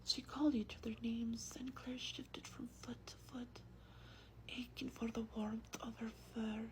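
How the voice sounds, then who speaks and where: A woman speaks in a breathy, close-up whisper with a faint noise behind her. Her delivery is very slow, hushed and gentle, in the manner of an ASMR vampire reading a book aloud. The voice sits a little on the high side. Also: flat delivery. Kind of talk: soft-spoken ASMR roleplay and book reading.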